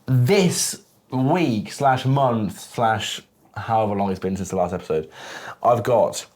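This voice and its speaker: A man's voice: fairly low pitch, slow, darkish tone. A man hosting a podcast, chatting casually with his guest in a teasing, easygoing way. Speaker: podcast host. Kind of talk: casual chat.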